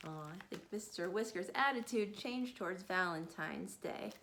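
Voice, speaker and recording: A woman in her thirties reading picture books aloud to children, expressive and sing-song, and a little tired. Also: on the low side, moderate pace, slight background noise. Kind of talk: picture-book read-aloud.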